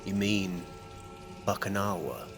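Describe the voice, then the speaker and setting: A man playing a tabletop roleplaying game, speaking casually with hesitant, deliberate phrasing. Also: low voice, a bit bright, soft background music. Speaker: player.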